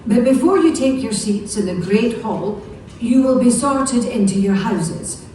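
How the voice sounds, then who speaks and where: A woman's voice: a little high, lively intonation, measured pace, warm tone, slight background noise. A woman playing a formal, stern wizard school professor in an audiobook drama. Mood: stately. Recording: echoey distant event playback, music behind.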